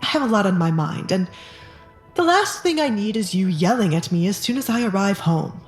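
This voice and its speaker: A woman's voice: mid-range voice, expressive, moderate pace, roomy sound, faint music behind, close to an everyday voice. A woman playing a weary, irritable middle-aged husband with secrets, grumbling dismissively in an audio drama.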